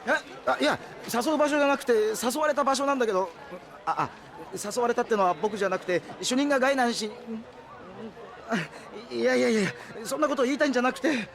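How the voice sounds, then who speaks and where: A man's voice: very high voice, brisk, vocal fry, fairly bright tone, exaggerated voice. A man playing a timid, flustered young underling who stammers and apologizes, in an anime audio drama. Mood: embarrassed. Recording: faint street crowd behind.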